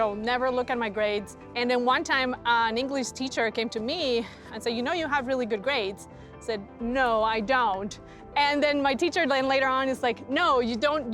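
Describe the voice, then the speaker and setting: High-voiced woman. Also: fairly bright tone. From Colombia. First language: Spanish. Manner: acts out remembered dialogue. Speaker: NASA mission manager. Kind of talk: personal storytelling in interview.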